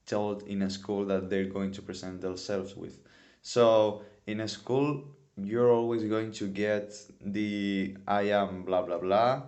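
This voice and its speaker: A man's voice: lowish voice, darkish tone. A man in his twenties from Spain, with a Spanish accent, teaching a casual English lesson and often repeating words for emphasis. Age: 20s. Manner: non-native phrasing, repeats words for emphasis.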